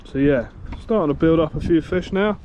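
A fairly high-voiced man chats to his viewers while fishing from a small open boat at sea at night, calling them guys and narrating each catch. A camera on the boat picks him up, and heavy wind roars across the mic the whole time. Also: moderate pace, lo-fi sound. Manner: chatty, addresses viewers as guys. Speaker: fishing vlogger. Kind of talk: casual on-the-spot fishing commentary. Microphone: action camera worn or mounted on the boat. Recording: loud wind noise on an outdoor camera mic.

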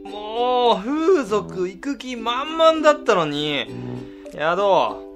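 A man's voice: high-pitched, very animated, slow pace, music in the background, heavily acted. A man playing a cocky, short-tempered senior office worker in a comedic radio drama, swaggering and then grumbling under strain. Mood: fuming.

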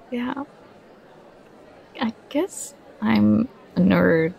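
A woman's voice: medium pitch, creaky, mellow, heavily acted, rough recording. A young woman playing a nerdy, affectionate girlfriend who gets flustered and bashful, in an ASMR roleplay. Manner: bashful, halting, playfully protesting.

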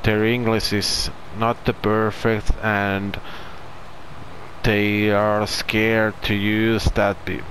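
A man's voice: on the low side, completely flat delivery, extremely slow, fairly bright tone, a little hiss or hum, low-quality recording. A man with a Finnish accent, a non-native English speaker, doing casual commentary over farming gameplay and searching for his words. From Finland. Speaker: gaming channel host.

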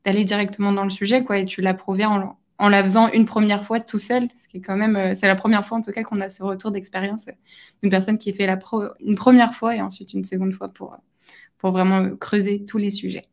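A woman's voice: mid-range voice, level tone, a little fast, creaky. A young adult woman hosting an interview over a video call, asking questions hesitantly with many fillers and restarts. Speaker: interview host.

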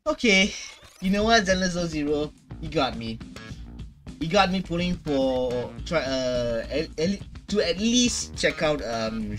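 A man in his twenties giving casual, unscripted reaction commentary, halting and repeating words. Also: medium pitch. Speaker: gaming reaction host. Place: small room at home, indoors. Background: game trailer music.

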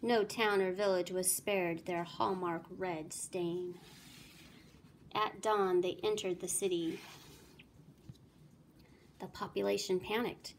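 A woman giving an expressive read-aloud of a children's storybook, like a teacher, with clear background noise. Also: fairly low pitch, takes it slowly, pauses often.

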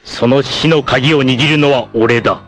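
A man's voice: unhurried, faint music behind, lightly acted. A man playing a vain, narcissistic, treacherous martial-arts villain in a 1980s anime, with a haughty, theatrical, mocking delivery. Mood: smug menace. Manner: theatrical, haughty, mocking, erupting into shrill outrage. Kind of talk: dramatic 1980s anime voice acting.

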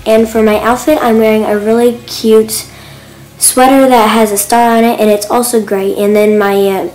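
A woman's voice: fairly high pitch, level tone, moderate pace, a little mellow, rough recording. A teenage girl filming a casual outfit vlog outdoors in a suburban yard, chatty and gushing, recorded on a phone camera set on a mailbox. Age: teens. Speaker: fashion vlogger.

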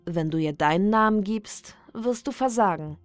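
A woman reading a scripted creepy ritual guide as a horror narrator, in a measured, deliberate, instructional manner. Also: medium pitch, lively intonation, no room sound. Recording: dry studio voice over background music. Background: background music.

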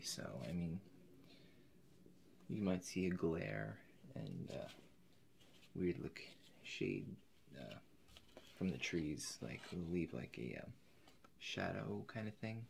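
A young man with a very low, creaky voice plays an eccentric painter in an ASMR roleplay. He speaks softly and haltingly, sometimes dropping to a whisper. There is clear noise behind him. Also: big pitch swings. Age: 20s. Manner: hesitant, halting, slipping into whispers. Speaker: ASMR performer. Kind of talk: soft-spoken ASMR roleplay. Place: indoors in front of a forest backdrop.

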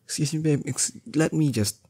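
A young man playing a strong, doting boyfriend in an intimate roleplay audio drama, coaxing and teasing affectionately with drawn-out words. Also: on the high side, warm tone, breathy edge. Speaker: voice actor.

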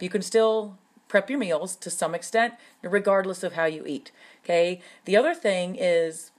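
Chatty woman giving home cooking tips. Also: mid-range voice. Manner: chatty, frequent "okay?" check-ins and "um" fillers. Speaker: meal prep coach. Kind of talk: casual instructional talk. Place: home kitchen, indoors.

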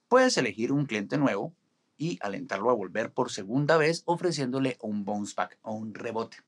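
A man in his forties explaining restaurant marketing to camera in a studio, with a steady, instructive delivery. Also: lowish voice. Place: studio in front of a green screen.

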